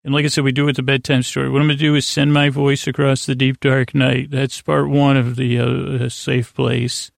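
A man with a creaky, gravelly voice, hosting a bedtime podcast in a rambling, halting monologue that wanders off into tangents and stumbles over words. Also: mid-range voice, level tone, no echo, studio quality. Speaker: podcast host. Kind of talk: rambling bedtime monologue to lull listeners to sleep.

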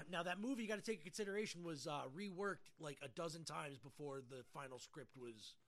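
A woman's voice: low voice, brisk, fairly bright tone. A woman hosting a casual podcast chat, talking informally and emphatically with plenty of swearing. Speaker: podcast host.

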